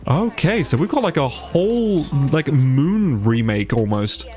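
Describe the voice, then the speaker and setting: Male let's play YouTuber, bunged up with a cold, lively melodic delivery, loose, profane and readily impressed, light music underneath. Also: fairly high pitch, a little slow. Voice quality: congested, stuffy-nosed. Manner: casual, sweary, easily amazed. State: sick with a stuffy nose. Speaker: gaming YouTuber, player. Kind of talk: casual let's play commentary.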